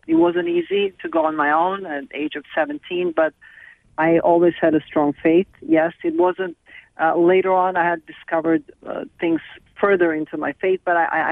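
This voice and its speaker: Woman, middle-aged, halting and earnest, dry close phone sound. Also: deep voice, gravelly, no room sound. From Serbia. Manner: earnest, halting with many restarts. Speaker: film director and guest. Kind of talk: phone interview. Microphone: telephone. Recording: tinny dry phone line.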